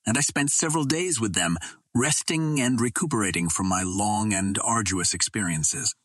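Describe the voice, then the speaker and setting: A man's voice: fairly low pitch, a bit bright, slightly rough, no echo. A man narrating an adventure novel as a scripted audiobook reading.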